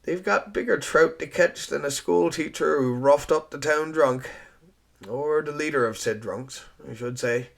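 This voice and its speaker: A confident storekeeper, voiced by a male narrator. He talks in an easygoing, folksy way, courteous and sure of himself, with a gravelly edge to his voice. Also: a little high, measured pace, voice pushed up. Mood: amused. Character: charming working man, storekeeper, self-assured.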